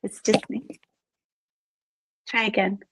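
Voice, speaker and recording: A woman hosting a live online interview in a chatty way, full of "like" and "um", her voice a little raspy from talking all morning. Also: mid-range voice, little pitch movement, takes it slowly, noisy background. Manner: chatty, filler-heavy, says "like" often. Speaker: host of live interview series. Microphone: video call.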